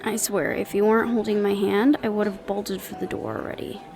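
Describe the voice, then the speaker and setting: A woman's voice: medium pitch, fairly even intonation, a little fast, gravelly, over music, close to an everyday voice. A woman acting in an audio roleplay as a sardonic, nervous young woman who mutters dry asides to her mate. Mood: nervous, wry.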